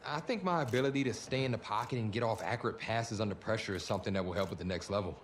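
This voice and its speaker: An actor playing an earnest young quarterback, conciliatory yet proud, in scripted video game dialogue. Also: male voice, lowish voice, rapid-fire, slight background noise, natural voice. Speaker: actor in a football game's story scenes.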